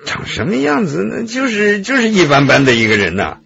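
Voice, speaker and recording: Slippery, husky gallery owner. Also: male voice, high voice, wildly swooping pitch, a little fast, sharp tone, muffled recording, exaggerated voice. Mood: evasive, flustered. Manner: wordy, wheedling, self-justifying. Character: slippery, glib male art gallery owner under questioning. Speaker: actor in a police radio drama. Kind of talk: Chinese radio drama acting.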